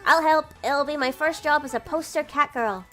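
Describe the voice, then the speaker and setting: An eager little cat girl waitress played by a woman, high-pitched and childlike. She is cheerful and keen to help, in a game style, over faint music. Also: moderate pace, bright, forceful tone. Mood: excited.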